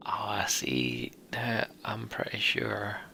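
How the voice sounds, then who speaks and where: A man hosting a game playthrough, speaking in a hushed voice as he comments and narrates the story text. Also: on the low side, very animated, slow, creaky, slight background noise. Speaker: let's play host. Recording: game music behind the voice.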